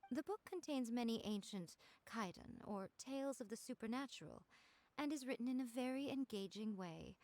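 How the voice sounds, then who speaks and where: A woman's voice: medium pitch, lightly acted. A woman voicing a poised, sly, teasing female boss in a video game, composed and articulate. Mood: measured. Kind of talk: video game character acting. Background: game music.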